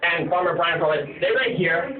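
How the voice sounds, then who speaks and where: A man telling a ghost story in a halting, rambling, repetitive way, as an amateur storyteller. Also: on the high side, expressive. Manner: halting, repetitive, starts many sentences with "and so then". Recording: tinny phone-like sound, far from mic, echoey, crowd chatter.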